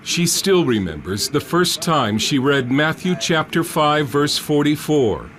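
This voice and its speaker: A man's voice: fairly high pitch, lively intonation, unhurried, fairly bright tone. A man narrating a scripted news report as a reporter.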